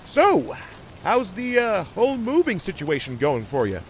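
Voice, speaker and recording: A man voicing a lovestruck, bashful cartoon beaver who sweet-talks haltingly in a fan fiction reading. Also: high voice, wildly swooping pitch, slight background noise, exaggerated voice. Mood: awkward, friendly. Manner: halting, stammering, sweet-talking.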